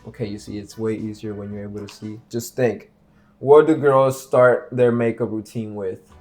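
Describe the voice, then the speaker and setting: A man's voice: fairly low pitch, steady tone, normal speed, music in the background. Boastful playful young man vlogging. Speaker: vlog host doing makeup blindfolded. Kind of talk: casual joking vlog chat.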